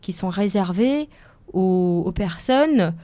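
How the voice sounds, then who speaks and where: A woman's voice: mid-range voice, lively intonation, slow pace, warm tone, dry recording. A woman in her forties, native Italian speaker, answering interview questions as a lawyer guest on the radio, hesitant with many fillers and careful phrasing. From France, Seine-et-Marne. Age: 40s.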